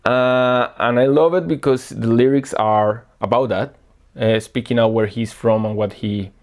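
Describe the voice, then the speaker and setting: A man from Colombia, a guest record selector chatting casually and earnestly about records, often pausing to search for words. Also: mid-range voice, steady tone, darkish tone. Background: faint music playing.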